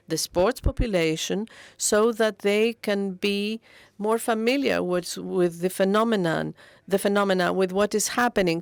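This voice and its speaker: A woman's voice: mid-range voice, creaky, dry recording. Woman interpreter, dry close sound. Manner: steady, with frequent hesitations and self-corrections. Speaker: conference interpreter. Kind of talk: simultaneous interpretation into English.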